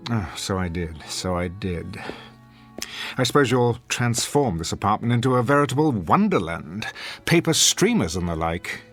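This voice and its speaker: An actor playing a pompous, sardonic, people-hating theater critic and husband in a radio drama, with an acerbic, theatrical manner. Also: male voice, fairly low pitch, sing-song, normal speed, a bit bright, faint music behind. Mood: exasperated, sardonic.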